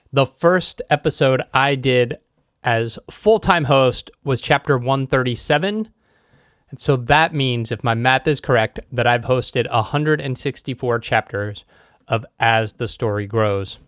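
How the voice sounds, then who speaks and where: A man's voice: mid-range voice, fairly bright tone, no echo, studio quality. A man hosting a music podcast, reading his intro and chatting with a guest in an upbeat, conversational way. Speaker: podcast host. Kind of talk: casual podcast intro and interview chat.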